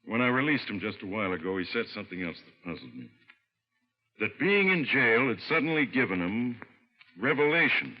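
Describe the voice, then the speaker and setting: A man's voice: fairly low pitch, big pitch swings, a little fast. A man playing a wisecracking, skeptical police inspector in a vintage radio drama, slangy and world-weary. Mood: grave. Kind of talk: mid-century American radio crime acting. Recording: vintage radio, muffled, long reverb.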